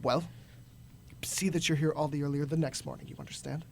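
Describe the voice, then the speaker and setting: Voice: medium pitch, fairly even intonation, a little fast, creaky, a little mellow, slight background noise, close to an everyday voice. An actor playing a grumpy, miserly old businessman in a radio drama, scornful, curt and barking. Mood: grudging.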